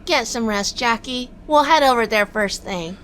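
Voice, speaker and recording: A woman's voice: fairly high pitch, moderate pace, a little hiss or hum, natural voice. A woman playing a wisecracking, bossy private eye in a 1940s noir audio drama, sardonic and secretly lovestruck. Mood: bossy, teasing. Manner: sardonic, scolding. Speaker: lead actor and narrator.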